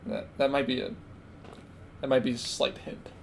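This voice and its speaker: A man's voice: mid-range voice, steady tone, moderate pace. A man hosting a casual group quiz chat, talking in a hesitant, explanatory way. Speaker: podcast quiz host. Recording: clear background noise.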